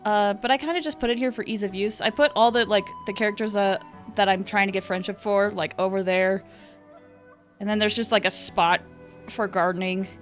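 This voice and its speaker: A woman's voice: medium pitch, steady tone, a little fast, soft background music. Playful woman streamer chatting over a game. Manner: playful, with little exclamations and sound words. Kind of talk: casual chat while playing. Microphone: streaming microphone.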